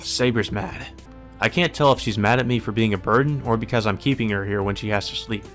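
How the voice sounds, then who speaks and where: A man's voice: fairly low pitch, brisk. A man reading a visual novel aloud as a let's player, mixing plain narration with casual, wry asides. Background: music.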